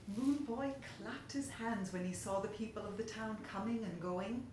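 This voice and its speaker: A woman's voice: medium pitch, moderate pace, a little mellow. A woman narrating a children's story expressively, in the manner of a storyteller reading aloud. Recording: very echoey room, faint hiss.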